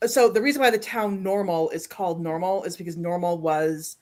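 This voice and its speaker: A woman's voice: on the low side, brisk, darkish tone. Woman with a Chicago accent. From Chicago south suburbs. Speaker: guest on a reading stream. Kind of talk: casual group chat.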